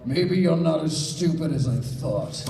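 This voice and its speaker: Man playing smug mellow Mew, echoey hall. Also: a little high, a little slow, gravelly, far from the mic. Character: smug, boastful female Mew, talking cartoon creature.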